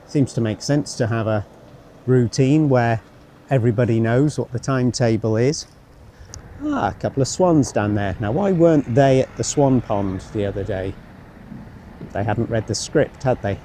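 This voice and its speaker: A man's voice: mid-range voice, normal speed, mellow. A man giving casual travel commentary as he walks, thinking aloud in a rambling, wry, self-deprecating way. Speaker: travel vlogger. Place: outdoors, walking by a road and canal. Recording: outdoor street noise with passing vehicles. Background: street ambience, vehicles.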